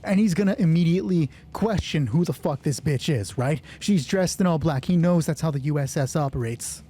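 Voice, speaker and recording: Husky, mellow man running solo roleplay. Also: a little high, fairly quick. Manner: casual, frequent swearing. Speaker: solo roleplay game master. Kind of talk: solo tabletop roleplay, narrating and thinking aloud. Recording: background music under the voice. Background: music.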